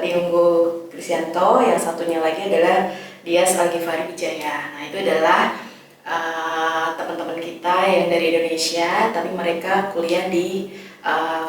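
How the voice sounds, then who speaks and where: A woman's voice: lowish voice, roomy sound, slight background noise. A young woman hosting a podcast interview, chatting casually with lots of fillers. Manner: chatty, many fillers and "gitu ya". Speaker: podcast host.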